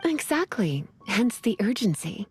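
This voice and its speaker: A woman's voice: mid-range voice, big pitch swings, a little slow, soft background music. A woman playing a languid, teasing, sweetly polite lady in a video game, coy and genteel.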